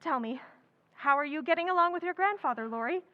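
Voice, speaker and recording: An actress playing an outspoken, impetuous young writer, chattering and blunt, in an old-time radio drama. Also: female voice, sits high, expressive, quick pace, pushed and bright, lo-fi sound. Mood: candid.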